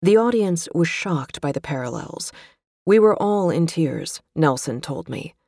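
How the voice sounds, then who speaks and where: Woman narrator, low and mellow, measured literary read, dry close mic. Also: vocal fry, no room sound. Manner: measured, even, literary delivery. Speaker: narrator reading a magazine feature. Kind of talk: scripted narration.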